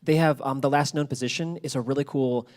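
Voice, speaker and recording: A man's voice: medium pitch, steady tone, a little fast, darkish tone. A man speaking enthusiastically and casually as a podcast creator at a public talk with audience questions. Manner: enthusiastic, effusive. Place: library event with audience.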